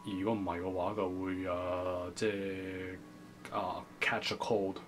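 A man's voice: deep voice, little pitch movement, soft background music, voice pushed down. A young man voicing an unsure young speaker fumbling through Cantonese with many hesitations in a skit. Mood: hesitant. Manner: hesitant, halting, many fillers.

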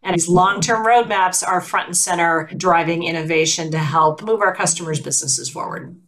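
A woman's voice: on the low side, normal speed, fairly bright tone. A woman hosting a corporate interview podcast, affirming her guest and leading into new topics with enthusiasm. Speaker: podcast host.

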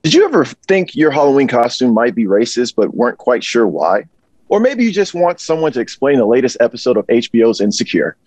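A man in his thirties playing a slick, upbeat concierge pitching a service at a script read, with a husky voice. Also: very animated, a little fast, darkish tone, no room sound. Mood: salesy. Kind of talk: comedic sales pitch, table read. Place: indoor office or storeroom. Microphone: wireless earbuds, video call.

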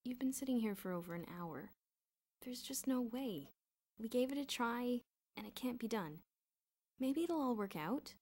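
Worried storybook schoolboy, the sensible sidekick, played by a woman, raised in pitch and anxious. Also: lively intonation, normal speed, a little mellow. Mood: consoling.

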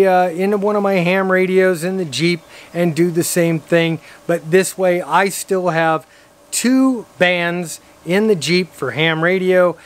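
An American man in his fifties giving a casual vlog-style product review to camera outdoors. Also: high-pitched. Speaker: radio hobbyist vlogger and reviewer. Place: outdoors in a driveway by a vehicle, some parts inside a car.

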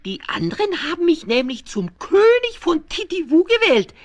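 A man's voice: very high-pitched, wildly swooping pitch, normal speed, exaggerated voice. A man voicing a bratty, boastful little dinosaur child who plays king, giggly and drawling, in a 1970s children's radio play. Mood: cheeky, gleeful. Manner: gleeful, boastful, giggly, stretching his words.

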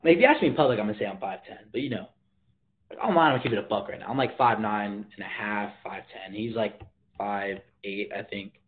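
A man's voice: fairly low pitch, fast talker. A teenage boy hosting a casual livestream from his bedroom, talking in a slangy, laid-back way and reading viewer comments aloud, filmed on a phone at arm's length. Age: teens. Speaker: livestream host. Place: bedroom, indoors. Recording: tinny phone-like audio.

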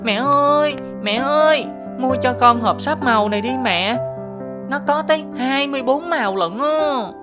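A woman plays a little cartoon boy with a high, childlike voice and southern Vietnamese accent. He is eager and pleading, and music plays loudly underneath. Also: normal speed, close to an everyday voice. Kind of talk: animated children's cartoon dubbing.

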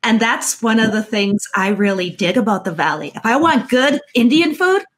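A woman's voice: a little high, lively intonation, moderate pace. A middle-aged woman with an American accent, interviewing conversationally in a chatty, agreeable way on a video call. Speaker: interviewer, host. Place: indoor room, on a video call.

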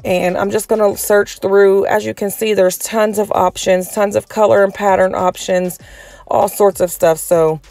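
A woman giving a casual step-by-step tutorial, sounding a little raspy and hoarse from a cold. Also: medium pitch, fairly even intonation, unhurried, darkish tone, dry recording. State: getting over a cold, slightly hoarse. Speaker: tutorial host.

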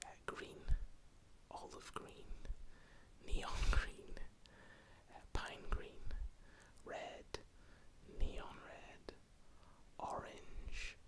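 A man in his thirties with a Northern Irish accent, whispering a rambling, self-deprecating ASMR guide close to the mic. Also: drawn-out words. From Northern Ireland. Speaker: ASMR host. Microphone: close-up mic with wind pops.

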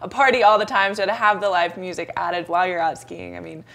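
Morning TV host, young woman, rapid upbeat chat, gushing enthusiasm. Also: lowish voice, fairly even intonation, vocal fry, fairly bright tone. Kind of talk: upbeat chatty TV interview. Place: small TV studio, seated on couch.

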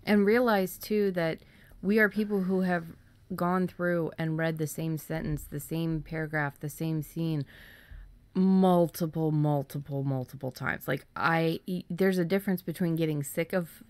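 A woman with a low voice chats casually as a podcast co-host. She is informal and rambles a little, and the sound is close and dry. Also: steady tone, a little slow, a little mellow, dry recording. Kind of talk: casual chat.

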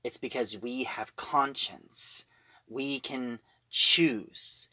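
A man giving a casual spoken review and plot retelling, in a halting manner, piecing phrases together as he goes. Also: mid-range voice, steady tone, bright, forceful tone, dry recording, low-quality recording. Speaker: audio drama reviewer.

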